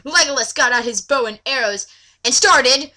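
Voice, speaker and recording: A woman's voice: sits high, normal speed, bright, forceful tone. A woman giving a mocking dramatic reading of amateur fan fiction, breaking off into exasperated, sweary asides. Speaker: reader.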